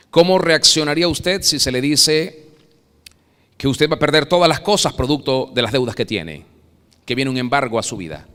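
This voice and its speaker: A Venezuelan man delivers a sermon with a bright, ringing voice pitched a touch high. He surges to loud, dramatic peaks and then drops to near whispers. The church hall carries a long reverb. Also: clear, resonant tone. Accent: Venezuelan Spanish. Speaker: pastor. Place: church sanctuary.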